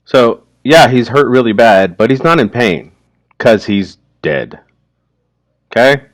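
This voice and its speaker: A man's voice: medium pitch, animated, slow pace, no echo, studio quality. A man giving casual, explanatory commentary on a story, like a teacher.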